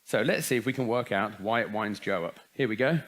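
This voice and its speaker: A man's voice: lowish voice, brisk, fairly bright tone. A man giving a humorous talk at a youth conference, full of wry jokes and rhetorical questions. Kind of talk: humorous talk to young people.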